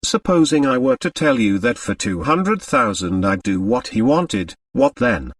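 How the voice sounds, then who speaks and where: A man voicing a sardonic, carefree gentleman in an audiobook novel, with an easy mocking, ironically polite manner. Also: mid-range voice, animated, unhurried, slightly rough, no echo, clean studio sound, recorded from a distance. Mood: light, amused. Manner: ironically polite, light and amused.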